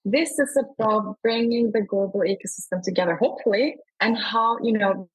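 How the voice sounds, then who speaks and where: A woman's voice: mid-range voice, moderate pace, husky, low-quality recording. A woman speaking enthusiastically as a guest in a conversational interview. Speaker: award founder, interview guest.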